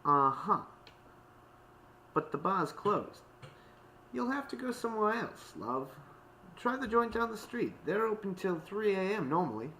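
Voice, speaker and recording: A man voicing a gruff, suspicious pony barmaid in a storybook, with a rough British accent. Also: high-pitched, sing-song, a little slow, pauses often, pushed and bright, a little hiss or hum, pitched above the performer's own voice, exaggerated voice. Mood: dismissive.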